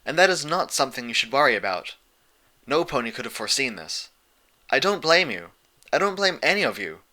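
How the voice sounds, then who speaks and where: A man voicing a calm, regal pony princess in a storybook reading, a wise and motherly teacher with a measured, lecturing manner. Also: mid-range voice, pitched above the performer's own voice. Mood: reassuring. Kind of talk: fan fiction audiobook character voice.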